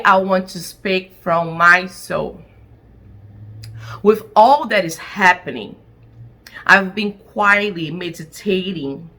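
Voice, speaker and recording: A woman delivers a heartfelt personal statement in English, which is not her first language. She speaks very slowly, setting each short phrase apart with deliberate emphasis. Her voice is bright and forceful. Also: fairly low pitch. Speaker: attorney. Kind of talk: impassioned spoken personal statement.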